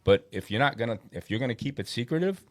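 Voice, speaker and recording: A man's voice: mid-range voice, animated, quick pace, no echo, clean studio sound. A man chatting casually as a panelist on a sports podcast. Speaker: podcast panelist, racing team crew member.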